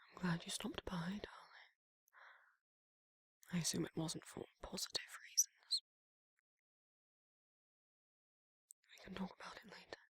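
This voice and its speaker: A performer voicing a tender, protective male werewolf lover in a soft, breathy, often whispered romantic ASMR roleplay. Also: mid-range voice, steady tone, moderate pace, no room sound. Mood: tender, soothing. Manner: soft, hesitant, affectionate murmuring.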